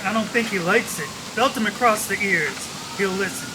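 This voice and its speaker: High-voiced menacing gangster boss, played by a man. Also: lightly acted. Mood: cool menace. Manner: deliberate, coolly threatening. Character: menacing male crime boss giving cool orders. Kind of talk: old-time radio detective drama acting. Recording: noisy, hissy radio play recording.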